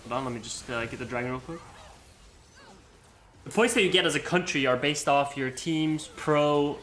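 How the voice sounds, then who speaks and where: A man's voice: on the high side, moderate pace, a bit bright, a little hiss or hum. A young man from Iceland with an American accent, chatting casually over his gameplay in a rambling way full of fillers. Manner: rambling, full of likes and you-knows. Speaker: gamer and video creator.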